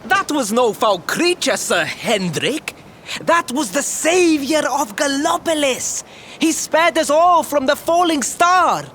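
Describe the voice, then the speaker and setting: An actor playing a proud, eager young prince, speaking formally and earnestly in a video game cutscene. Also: male voice, very high voice, big pitch swings, slow, a bit bright, a little hiss or hum, heavily acted. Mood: awed.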